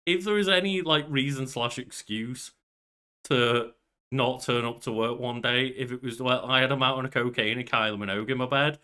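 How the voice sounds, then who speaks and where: A man's voice: mid-range voice, fairly even intonation, moderate pace, sharp tone, clean tone. A man in his thirties co-hosting a podcast, chatting casually and feeling for his words as he goes. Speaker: podcast co-host. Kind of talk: casual chat. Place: indoors at a desk, on a video call.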